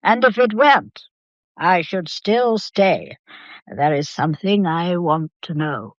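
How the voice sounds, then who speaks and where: A woman's voice: fairly low pitch, expressive, no room sound, dull audio. Woman playing an imperious old English matriarch, dully recorded. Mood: firm, composed. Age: older. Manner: formal, deliberate, commanding. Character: imperious, inquisitive old matriarch, stubborn and shrewd. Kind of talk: audio drama acting.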